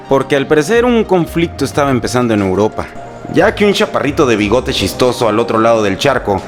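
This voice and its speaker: A Mexican man with a fairly low voice tells history as a scripted, humorous narration. His delivery is irreverent and colloquial, with a sarcastic edge. Music plays faintly behind him. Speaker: host and narrator. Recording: music bed under the voice. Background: background music.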